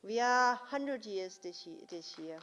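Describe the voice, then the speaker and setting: Slow-spoken woman with a Danish accent. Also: mid-range voice, expressive, muffled recording, noisy background. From Denmark. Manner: deliberate, slow and careful, with frequent uh pauses. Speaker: guest speaker. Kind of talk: formal conference speech. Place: large conference hall. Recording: long hall reverb.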